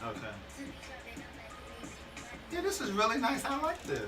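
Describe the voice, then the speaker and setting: A man chatting as a guest in a radio studio, relaxed and easygoing in his banter. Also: very high voice, a little slow, distant mic, over music. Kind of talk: casual off-air chat.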